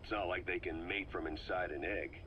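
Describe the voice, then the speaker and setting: An actor playing a gruff, skeptical military interrogator in a video game, asking plain, probing questions. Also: male voice, low voice, fairly even intonation, normal speed, close to an everyday voice. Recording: muffled cassette tape with background noise.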